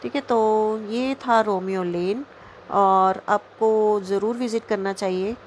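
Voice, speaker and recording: A woman's voice: mid-range voice, takes it slowly, slight background noise. A young woman with a North Indian accent gives a casual, chatty vlog voice-over, mixing in English words and often checking in with the listener. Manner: mixes English words and phrases into speech. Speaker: vlogger.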